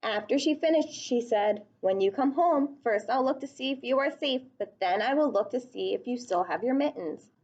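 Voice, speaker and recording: A woman's voice: on the high side, expressive, normal speed, few pauses, darkish tone, dull audio. A woman reading a picture book aloud to young children, speaking expressively and putting questions to her listeners.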